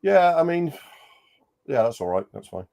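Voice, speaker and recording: A man's voice: a little high, very animated, moderate pace, creaky, dark tone. A man co-hosting a live chat over a video call, audibly bunged up from an illness, speaking hesitantly with lots of ums and dry understatement. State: congested, still coughing. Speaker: co-host. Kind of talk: casual live-stream chat.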